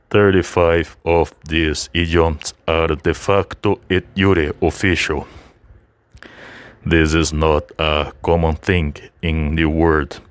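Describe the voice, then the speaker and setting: Deep-voiced man for a slow, formal explainer voice-over, clear and dry. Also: no room sound. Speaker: channel host. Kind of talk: scripted educational narration.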